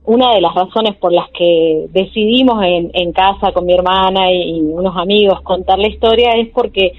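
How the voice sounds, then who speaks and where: A woman's voice: medium pitch, normal speed. A woman in her forties with an Argentine accent, calling in to tell a true story, talking hesitantly with many fillers. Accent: Argentine Spanish. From Jujuy, Argentina. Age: 40s. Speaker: caller telling a true story. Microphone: phone. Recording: tinny phone line.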